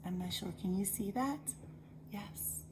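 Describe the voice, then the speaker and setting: A woman voicing a preachy female lifestyle influencer who talks in a slow, hushed, breathy whisper. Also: mid-range voice, lightly acted, rough recording. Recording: background noise.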